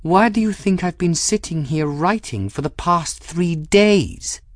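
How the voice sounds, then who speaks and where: A man voicing an imperious, waspish woman in an audiobook, giving sharp, clipped commands with intense impatience. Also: medium pitch, expressive, slow pace, no room sound, clean studio sound, voice pushed up. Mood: waspish, impatient. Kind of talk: audiobook character acting.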